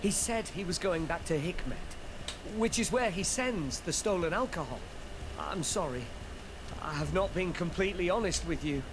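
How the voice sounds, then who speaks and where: An actor playing a frightened, cowardly informant in a video game, pleading and deferential in manner. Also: male voice, high-pitched, expressive, normal speed, background noise. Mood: deferential, worried.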